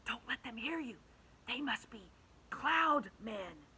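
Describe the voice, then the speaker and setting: A woman voicing a brave, calm young boy who speaks in a soft, hushed way for a storybook read-aloud. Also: a little high, slow, breathy edge, voice pushed up, full character voice.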